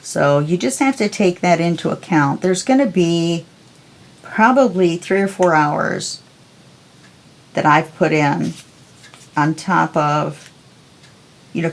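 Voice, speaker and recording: A woman hosting a crafting tutorial, chatting calmly and unhurriedly as she works. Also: low-pitched, gravelly. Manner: calm, unhurried, talks while working. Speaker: crafting video host. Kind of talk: casual crafting tutorial chat.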